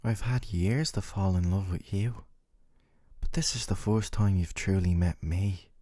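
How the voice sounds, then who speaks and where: Gentle yandere dating-sim boyfriend, played by a male actor: low-pitched, clingy and possessive, intimate ASMR delivery, very dry close sound. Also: a little slow, no room sound. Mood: yearning, tender. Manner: tender, coaxing, clingy.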